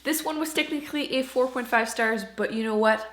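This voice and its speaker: A woman's voice: fairly high pitch, a little fast, fairly bright tone. A woman talking casually to camera as a book reviewer, chatty and informal, putting emphasis on key words. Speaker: book reviewer and channel host. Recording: roomy indoor echo.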